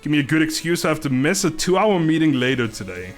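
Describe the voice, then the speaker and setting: A man's voice: a little high, sing-song, fast talker. Young dutch guy on stream, chatty, laughs a lot, trips over his words, a bit of music behind. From Netherlands. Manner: chatty, laughs often, stumbling restarts, swears casually. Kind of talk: casual live-stream chat reading chat.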